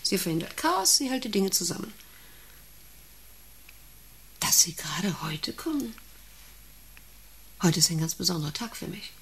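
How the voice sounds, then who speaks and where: A woman's voice: lowish voice, very animated, measured pace, pauses often, a bit bright, a little hiss or hum, exaggerated voice, low-quality recording. An actress in a German radio play playing an imperious, obsessive female factory boss with a haughty, commanding, brooding manner. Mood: solemn, intense.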